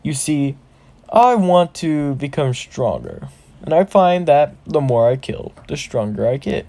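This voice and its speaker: A man's voice: fairly high pitch, creaky, mellow, heavily acted. A man voicing a large, arrogant orc shaman who taunts his foe, in an amateur fantasy story. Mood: boastful, taunting. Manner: taunting, with drawn-out villainous laughs. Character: large arrogant male orc shaman boss, bloodthirsty, mocking.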